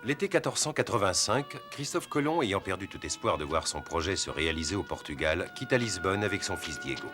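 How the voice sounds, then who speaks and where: A man's voice: sits low, expressive, a little fast, hardly any pauses. A man reading scripted narration for a historical cartoon. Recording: music behind the voice.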